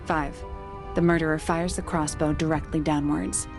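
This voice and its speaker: A sharp, pensive game detective, with a lowish voice full of vocal fry. She reasons in terse, measured phrases, with faint music underneath. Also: level tone. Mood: focused deduction. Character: sharp, pensive female detective partner in a mystery. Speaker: detective character in a mystery game. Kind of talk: video game voice acting, measured deduction.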